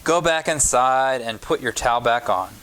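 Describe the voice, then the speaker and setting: A man's voice: medium pitch, moderate pace, fairly bright tone, close to an everyday voice. A man voicing a kind, patient older woman who gardens and reminisces in a storybook. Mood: gently teaching. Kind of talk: storybook character dialogue.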